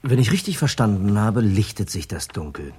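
An actor playing a jovial, wry detective agency boss in a German radio crime drama, talking in a breezy, ironic way. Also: male voice, lowish voice, sing-song, normal speed, mellow, breathy edge, exaggerated voice. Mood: wry, confident.

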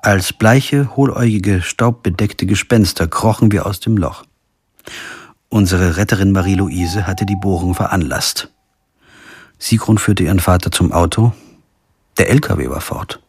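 A man's voice: low voice, measured pace, darkish tone, husky, no room sound, heavily acted. An actor playing a wry, weary lawyer who narrates his own crime story in a radio drama. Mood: tense, sober. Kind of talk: scripted first-person radio drama narration.

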